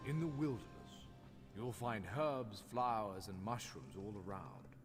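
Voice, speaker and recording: An actor voicing a haughty, scholarly master craftsman in a fantasy video game, speaking in a formal, measured, instructional way. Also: on the low side, animated. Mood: instructive. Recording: long hall reverb, faint music behind.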